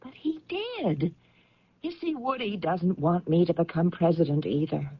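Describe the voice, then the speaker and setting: An actress playing an anxious career woman convinced of a plot against her, halting and agitated, in radio drama style. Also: lowish voice, lively intonation, slightly rough, dry recording. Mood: insistent, agitated. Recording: dull, band-limited old radio recording.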